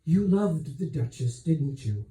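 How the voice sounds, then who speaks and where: A gracious, wry queen, as a man reads the part from a novel, speaks slowly and reflectively. The tone is very mellow with lively turns of pitch, in an echoing room. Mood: gentle, frank. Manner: measured, regal, reflective. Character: gracious, self-doubting adult queen, wry and frank. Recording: roomy echo, distant microphone.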